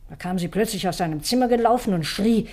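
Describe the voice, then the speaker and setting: Woman acting as a bitter older housekeeper in a radio crime play, husky, curt, hard. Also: mid-range voice, fast, darkish tone, close to an everyday voice. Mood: resentful. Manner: curt, embittered.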